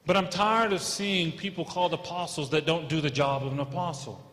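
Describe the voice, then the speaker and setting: An American man preaches in a church hall, speaking in short, earnest phrases and pausing between them. His voice sits fairly high for a man, and the long reverb of the hall trails after each line. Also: a bit bright. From United States. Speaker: guest preacher. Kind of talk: sermon in short phrases for an interpreter.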